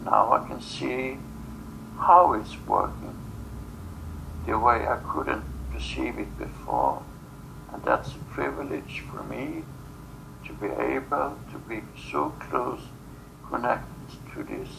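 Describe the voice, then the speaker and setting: A non-native English-speaking man talks slowly, as if in a guided trance, with a heavily creaky voice. His phrases come in halting fragments with long pauses, over clearly audible background noise. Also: mid-range voice, very animated. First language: not English. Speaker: subject of a channeling session. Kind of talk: trance channeling in guided session.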